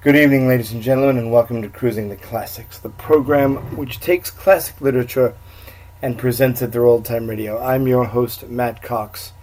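A man's voice: mid-range voice, fairly even intonation, moderate pace, a little mellow, poor audio. Male radio host introducing a program.

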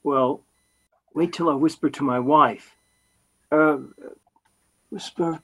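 A man's voice: fairly high pitch, slow, sharp tone, exaggerated voice, rough recording. A man playing a bashful, good-natured young husband in a radio comedy, hesitant and stammering. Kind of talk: old-time radio comedy acting.

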